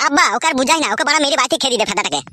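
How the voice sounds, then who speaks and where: A woman's voice: high-pitched, expressive, very fast, sharp tone, no echo. A woman voicing a hot-headed, complaining married village son in a comic cartoon. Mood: accusing. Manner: ranting, complaining to his father.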